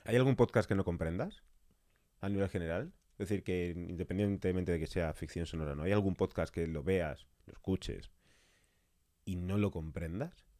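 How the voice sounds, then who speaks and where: A man's voice: deep voice, moderate pace, dark tone, no room sound, clean studio sound. A man with an accent from Spain interviewing a guest in a casual, conversational podcast style.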